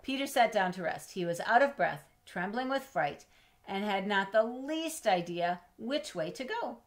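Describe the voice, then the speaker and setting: A middle-aged woman reading a children's storybook aloud in an expressive, measured way, as if to young listeners. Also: medium pitch. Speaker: storybook reader. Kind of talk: children's read-aloud with chatty asides. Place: indoors in a home room with bookshelves.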